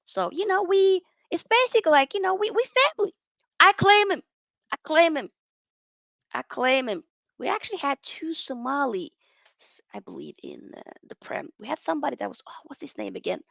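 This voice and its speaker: A woman in her twenties from Norway, hosting a live football chat stream and reading out viewer messages in a lively, chatty way full of slang and restarts. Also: high voice, moderate pace, creaky, dry recording. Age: 20s. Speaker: football channel host.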